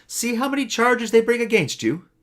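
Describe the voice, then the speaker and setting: A man's voice: very high voice, very animated, moderate pace, fairly bright tone, pitched above the performer's own voice, heavily acted. A man reading scripture aloud and voicing Pilate, a Roman governor, with raised, pressing questions. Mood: questioning.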